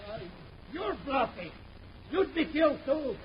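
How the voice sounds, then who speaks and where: An actor playing a haughty, taunting villain prince in a 1950s radio space serial, with a sneering theatrical delivery on an old recording. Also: male voice, extremely high pitch, sing-song, unhurried, highs cut off, full character voice. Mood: scornful.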